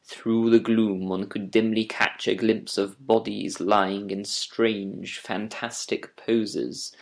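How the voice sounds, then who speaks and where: A man narrating a first-person audiobook story with measured, literary, deliberate phrasing. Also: on the low side, a bit bright, poor audio. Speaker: audiobook narrator reading as Doctor Watson.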